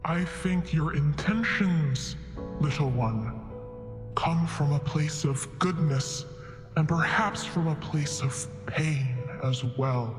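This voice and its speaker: A man playing a solemn, benevolent god in a fantasy roleplay, speaking in a grave, stately and measured manner. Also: on the high side. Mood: gentle warning. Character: ancient, solemn, benevolent god weighing cosmic order. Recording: long reverb with music behind.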